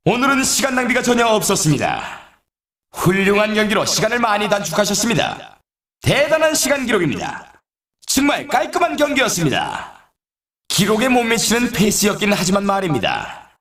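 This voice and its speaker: A man playing an excitable snowboard race announcer in a video game, with emphatic, formal broadcast delivery. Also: high voice, normal speed, roomy sound, full character voice. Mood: commenting, impressed. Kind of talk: scripted video game sports commentary.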